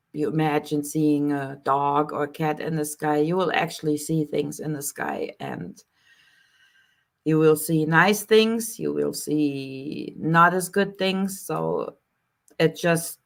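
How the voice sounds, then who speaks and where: A woman from Germany with a German accent, hosting a casual live question-and-answer stream, greeting viewers by name and talking in an unhurried way with many fillers. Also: sits low, vocal fry. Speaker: live stream host, spiritual healer. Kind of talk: casual live Q&A, reading chat comments.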